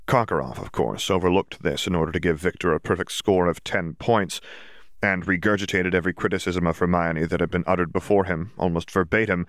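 A man's voice: sits low, no echo, clean studio sound. A man reading a fantasy story aloud as scripted audiobook narration.

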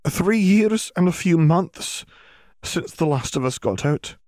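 A man voicing a stern, grave older British witch professor who explains things in a measured, formal way. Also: fairly high pitch, animated, a little mellow, dry recording, studio quality, pitched above the performer's own voice. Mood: grave, careful.

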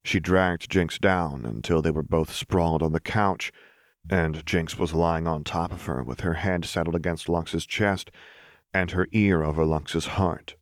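Low-pitched man narrating dramatic fiction. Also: no echo, clean studio sound. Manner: measured, expressive storytelling. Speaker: audiobook narrator.

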